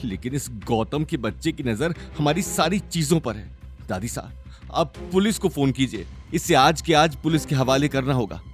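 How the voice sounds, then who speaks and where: A man's voice: mid-range voice, lively intonation, moderate pace, faint music behind, lightly acted. A man voicing an angry, spiteful young woman within a narrated audio story. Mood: tearful, indignant. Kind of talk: storytelling character dialogue.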